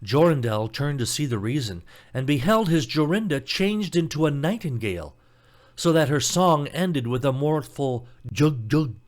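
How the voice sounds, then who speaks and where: Man narrating an expressive fairy tale. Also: a little high, moderate pace. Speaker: storybook narrator.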